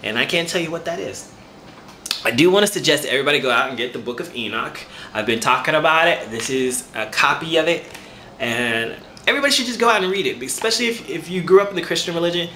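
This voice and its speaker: A man's voice: a little high, quick pace, bright, forceful tone, reverberant, slight background noise. A man giving an earnest, encouraging talk to camera, repeating words as he thinks. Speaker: spiritual vlogger.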